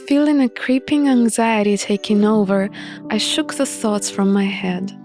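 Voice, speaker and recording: A woman reading a visual novel aloud with expressive narration and casual commentary. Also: mid-range voice. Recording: music playing behind the voice. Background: music.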